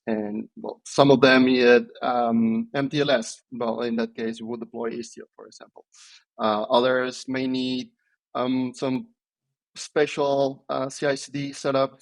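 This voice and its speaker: A man, answering interview questions in a thoughtful, hesitant way with many pauses and restarts. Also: mid-range voice, rough recording. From Italy. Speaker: podcast guest, software engineer.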